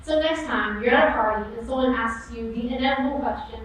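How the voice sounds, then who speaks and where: Young woman presenting in a booming hall. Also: quick pace, a bit bright. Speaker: competition presenter. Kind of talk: rehearsed research talk. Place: auditorium stage. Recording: echoey hall, far mic, faint noise.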